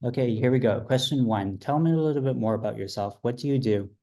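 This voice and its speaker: A man hosting a podcast, working through a numbered list of questions in a brisk, steady way. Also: medium pitch. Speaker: podcast host.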